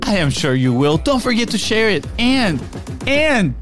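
A man's voice: sits high, big pitch swings, unhurried, fairly bright tone, soft background music. A man co-hosting a podcast, delivering the intro and guest welcome in a punchy, announcer-like hype style. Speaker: podcast co-host.

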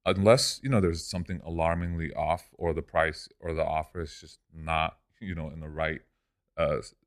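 Deep-voiced young man, laid-back. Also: moderate pace. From Lebanon. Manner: relaxed, says "dude" and "man" often. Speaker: guest, e-commerce entrepreneur. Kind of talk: casual podcast interview.

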